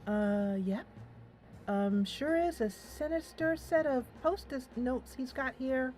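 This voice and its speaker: A woman voicing a sensible but anxious teenage schoolgirl in a visual novel game, wry, questioning and expressive. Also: sits high, slow, faint music behind, voice pushed up. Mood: sceptical, wry.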